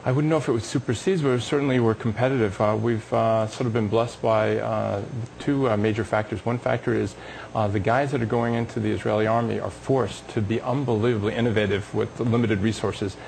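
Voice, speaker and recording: Man, company executive, quick delivery, creaky voice, enthusiastic explaining, TV interview, noisy background. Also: lowish voice, fairly even intonation, darkish tone. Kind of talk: live business TV interview, promotional explaining.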